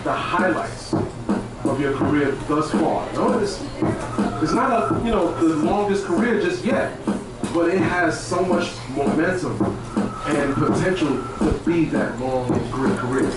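A man's voice: fairly high pitch, expressive, measured pace, recorded from a distance, heavy background noise. A man hosting a live event on a handheld microphone, with casual, slangy, jive-talking banter and repeated phrases. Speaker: radio show host and interviewer. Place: rooftop bar stage at a festival at night. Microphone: handheld stage microphone. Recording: echoey live PA from afar, loud crowd.

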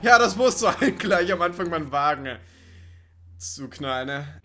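A man's voice: a little high, expressive, measured pace, bright, forceful tone, a little hiss or hum. A man giving casual let's play commentary in a wry, sarcastic, joking way.

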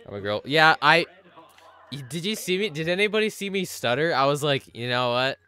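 Excitable young male game streamer. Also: fairly high pitch, brisk, clean tone. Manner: excitable, joking with chat, sudden yelps and laughs. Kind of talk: live gameplay commentary.

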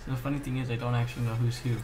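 A man with a medium-pitched voice hosts a casual gameplay commentary while slightly under the weather. He talks in halting bursts, half muttering to himself. The homemade recording has clear noise behind the voice. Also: flat delivery. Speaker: gaming channel host.

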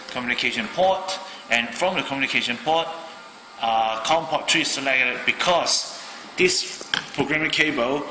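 A man's voice: medium pitch, sharp tone, in a large hall, slight background noise, rough recording. A man from Malaysia casually demonstrating a radio at his desk, thinking aloud with many fillers. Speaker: hobbyist radio reviewer. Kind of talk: casual hands-on product demo. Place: indoor room at a desk.

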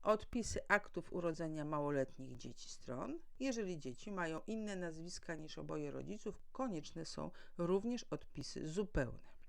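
A woman's voice: sits low, animated, a little slow, creaky. A woman legal adviser giving a formal, didactic explanatory talk to listeners. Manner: formal, didactic, asks and answers own questions. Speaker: legal adviser and mediator presenting.

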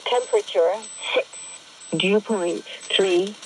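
A woman's voice: mid-range voice, fairly even intonation, slow. A woman reading formulaic aviation weather reports in clipped, segmented, impersonal phrases over shortwave radio. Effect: shortwave single-sideband radio. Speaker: aviation weather broadcast announcer. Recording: noisy shortwave radio reception. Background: radio static and noise.

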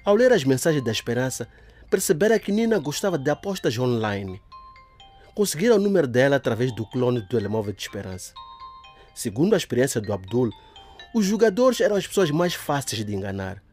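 A man narrating a scripted audio drama in a lively storytelling manner. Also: mid-range voice, brisk, soft background music.

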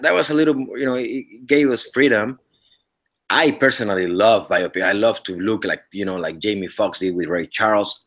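Mexican-accented man on a video call. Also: fairly low pitch. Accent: Mexican Spanish. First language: Spanish. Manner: earnest, restarts often, lively gestures. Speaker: actor guest. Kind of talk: casual interview chat over video call. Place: living room at home. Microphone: webcam on a video call.

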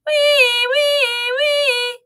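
A woman voicing an eager, determined young male mouse in a storybook reading, pleading and excited. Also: sits high, normal speed, pitched above the performer's own voice. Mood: gleeful siren.

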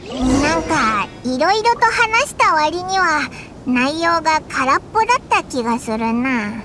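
A woman voicing a small, childlike fairy companion in a game, cheeky and blunt, with boyish rough speech. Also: animated, measured pace, noisy background. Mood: unimpressed. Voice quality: squeaky, childlike. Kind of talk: Japanese game voice acting. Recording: loud music behind. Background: game music.

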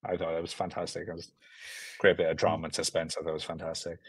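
Young man, South Welsh accent, lowish voice, dry close sound, casual, enthusiastic, repetitive emphasis. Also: monotone, quick pace, husky, no room sound. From South Wales. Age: 20s or 30s. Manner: enthusiastic, repeats words and phrases for emphasis. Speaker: film director, interview guest. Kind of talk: casual chat. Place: small room at home, video call. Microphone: video call, earbuds.

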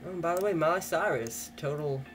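A man's voice: on the high side, brisk. Joking teenage boy on a webcam. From Canada. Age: teens. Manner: rambling, self-mocking, jokey. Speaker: vlogger. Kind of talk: casual joking webcam vlog. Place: small wood-paneled room, indoors. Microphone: webcam at desk.

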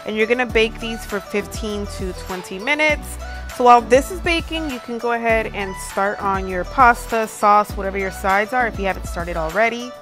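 A woman's voice: mid-range voice, normal speed, a bit bright, faint music behind. A young American woman talking casually and informally as she narrates a home cooking demonstration. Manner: chatty, informal. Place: home kitchen, indoors.

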